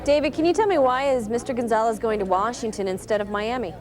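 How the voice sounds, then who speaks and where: A woman's voice: a little high, fast, a crowd in the background. A woman presenting the news as a television anchor.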